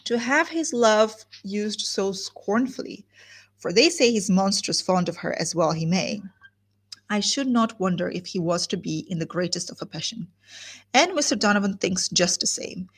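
A woman's voice: medium pitch, expressive, normal speed, breathy edge. A woman reading as a gossipy older lady. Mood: gossiping. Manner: breathless, gossipy retelling. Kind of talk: reading a classic novel aloud.

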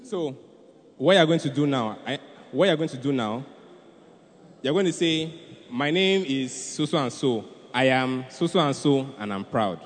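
A man's voice: mid-range voice, expressive, moderate pace, a little hiss or hum. A man with a Nigerian accent preaching and hosting a church youth event, exhorting the room and repeating phrases for emphasis. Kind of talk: animated youth sermon and stage hosting. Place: large indoor hall at a live event. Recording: reverberant large hall PA.

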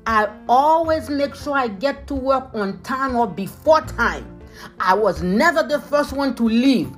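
Haitian-accented woman, motivational podcast host, emphatic and repetitive, slightly high pitch, faint music bed. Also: expressive, unhurried. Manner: emphatic, repeats phrases, addresses listeners as leaders. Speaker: motivational speaker.

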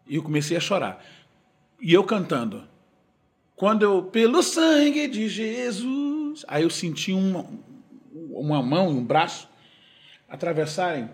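A gospel singer tells his testimony in a husky, breathy voice that sits fairly high for a man. His pitch swings widely as he acts out the people in his story. He goes from loud bursts to hushed murmurs. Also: slow pace, pauses often. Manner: animated, acts out dialogue and shifts between loud and hushed. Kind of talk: telling a personal testimony.

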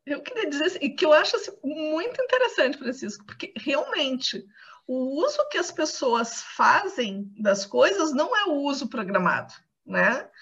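A high female voice with a Brazilian accent and a strong creak talks in a conversational academic style. The online call makes it sound dull and lo-fi. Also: fairly bright tone. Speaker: academic panelist.

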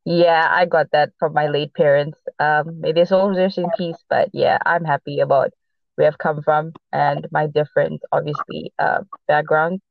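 A woman speaks in a mixed accent shaped by Portuguese, South Africa and America, and Portuguese is her first language. She chats casually on a podcast, halting and restarting with plenty of fillers. The sound is dry and a bit dull, like a lo-fi recording. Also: sits low, little pitch movement, vocal fry, no room sound. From mixed upbringing: Boston, Los Angeles and South Africa. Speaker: podcast co-host. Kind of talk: casual sports podcast chat.

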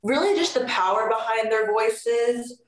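A woman's voice: fairly high pitch, lively intonation, unhurried, reverberant, poor audio. A teenage girl from Florida, a singer being interviewed, talking in a chatty, upbeat way with lots of "like" and "you know". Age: teens. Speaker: guest singer. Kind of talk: casual interview chat.

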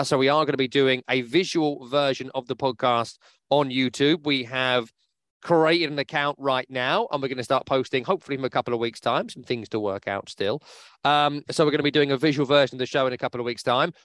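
A man's voice: mid-range voice, brisk, a bit bright, no echo. Man, podcast host, chatty, upbeat, dry close sound. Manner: chatty, upbeat, with many fillers. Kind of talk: casual podcast chat. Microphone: remote podcast mic.

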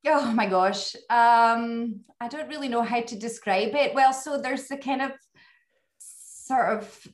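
A woman's voice: a little high, slow pace, echoey room. A woman from Scotland with a Scottish accent, a guest reflecting thoughtfully in a casual interview, with frequent fillers and restarts.

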